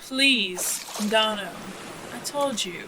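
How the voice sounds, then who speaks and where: A woman playing a confident hired boat pilot in an audio drama, brisk, wry and matter-of-fact. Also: a little high, background noise. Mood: brisk, determined.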